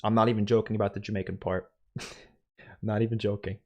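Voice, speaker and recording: A young man with a light New York accent answers viewer questions on a home live stream, talking in an animated, swearing, easygoing way. He is close on a boom mic in a small room. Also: quick pace. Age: young adult. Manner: animated, swearing, laughs a lot. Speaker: streamer host. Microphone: studio mic on boom, headphones.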